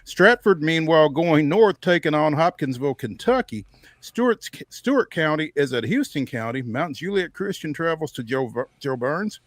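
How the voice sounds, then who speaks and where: A man's voice: a little high, expressive, moderate pace, dry recording. An American man hosting a sports show, reading through a local games schedule in a conversational way with off-the-cuff asides.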